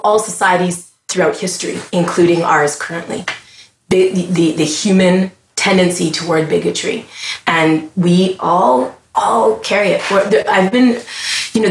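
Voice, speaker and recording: Earnest Canadian woman, low voice, echoey room. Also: a little hiss or hum. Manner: earnest, impassioned, restarts often mid-thought. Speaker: podcast guest. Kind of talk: casual conversation.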